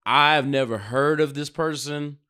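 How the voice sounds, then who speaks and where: A man's voice: fairly high pitch, measured pace, pushed and bright, clean tone. An American man chatting casually as a podcast co-host, talking in a slangy, profane, emphatic way. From United States.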